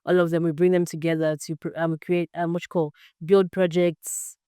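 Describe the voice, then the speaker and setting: A woman's voice: fairly low pitch, flat delivery, normal speed, dark tone, clear, resonant tone, no room sound, studio quality. A young woman in her twenties from Zambia, answering interview questions in an earnest, explanatory way with frequent "um" and "basically". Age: 20s. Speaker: podcast guest, computer science student.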